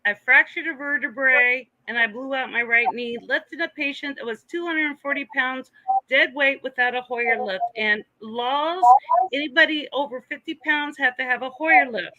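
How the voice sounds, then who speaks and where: A woman's voice: high voice, moderate pace, sharp tone, no room sound, lo-fi sound. A woman guest on a livestream panel, joking crudely in casual chat.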